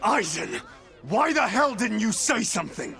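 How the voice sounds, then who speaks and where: Gravelly, high-strung hot-headed man. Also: very animated, moderate pace, bright, forceful tone, exaggerated voice. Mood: furious. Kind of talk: English-dubbed video game cutscene acting.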